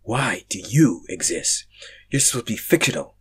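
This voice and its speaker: A bewildered young male stranger, played by a man reading aloud, with a lowish husky voice. He is halting and exasperated, swinging between panic and sarcasm, in the manner of a fan fiction story. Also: sing-song, full character voice. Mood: panicked.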